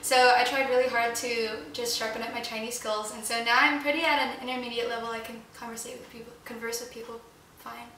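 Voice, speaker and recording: A young woman talks freely and pokes fun at herself, often breaking into laughter. Her voice is bright and pitched fairly high. The room echoes heavily, and a distant camera mic catches her with faint hiss. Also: little pitch movement, fast. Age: young adult. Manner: chatty, self-deprecating, laughs often. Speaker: panel guest. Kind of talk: casual chat. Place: echoey living room indoors. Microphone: far camera mic.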